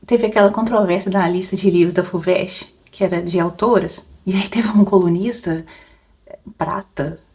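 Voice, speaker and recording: A woman's voice: fairly low pitch, fairly even intonation, normal speed, a little mellow. Chatty woman rambling with laughs. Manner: rambling, chatty, laughs often, many fillers. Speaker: book podcaster and YouTuber. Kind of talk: casual Q&A chat.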